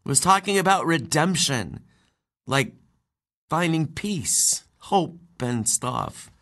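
An actor playing an earnest, anxious transgender teenage girl in an audio play, speaking in halting, youthful phrases. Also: mid-range voice, animated, a bit bright. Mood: earnest, hesitant. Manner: hesitant, halting, youthful phrasing with likes and ums. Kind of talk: naturalistic audio play acting.

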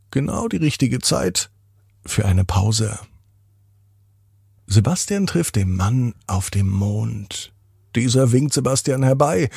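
A man narrating a bedtime story for children in a deliberate, expressive manner with drawn-out pauses. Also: on the low side, creaky, slightly rough, no room sound, studio quality. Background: music.

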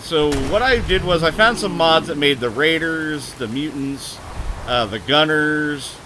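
A man streaming live gameplay, talking loud and excitably, with a booming voice, cursing at the game and answering chat. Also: a little slow, background noise. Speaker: gaming streamer.

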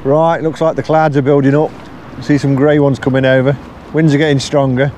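A middle-aged man from England talks easily while he fishes from a riverbank, relaxed and unhurried. His voice sits at an ordinary middle pitch. A camera set behind him outdoors picks it up along with clear wind and water noise. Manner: relaxed, unhurried, thinking aloud between casts. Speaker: angler filming his own channel. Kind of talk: casual commentary while fishing. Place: riverbank outdoors on a windy day. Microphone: camera mic placed behind him.

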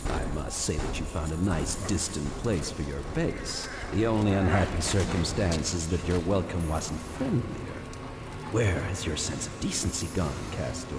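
Low, echoey, sneering villain. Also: male voice, lively intonation, normal speed, heavy background noise. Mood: smug mocking. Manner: pompous, mocking, theatrical. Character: smug, cruel male villain commander taunting beaten rebels. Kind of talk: dramatic video game voice acting. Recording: echoey hall reverb under loud music.

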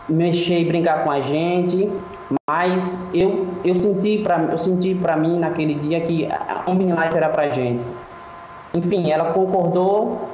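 A man's voice: on the high side, mellow. A man in his thirties from Brazil, telling his story as an interview guest over a video call, earnest and full of restarts. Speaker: guest entrepreneur. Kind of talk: interview answers. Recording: echoey room with faint noise.

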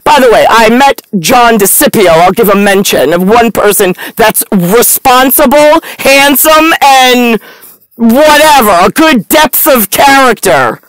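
A woman's voice: fairly high pitch, measured pace, dry recording, rough recording. Blunt woman rambling, close and dry. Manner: blunt, sardonic, profane, animated hand gestures. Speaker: vlogger. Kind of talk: rambling, stream-of-consciousness monologue to camera. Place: inside a parked car at night.

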